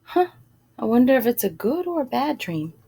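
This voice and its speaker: A woman reading a picture book aloud in an expressive, animated way, with casual asides and drawn-out phrasing. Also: on the high side, creaky, husky. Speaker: storybook reader.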